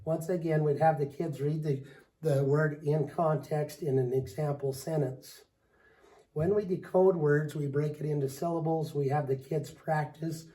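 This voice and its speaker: A man with a raspy voice from a lingering cold, walking through a reading lesson as a teacher, steady and explanatory with frequent ums. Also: on the high side, rough recording. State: hoarse from a cold. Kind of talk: recorded lesson walkthrough.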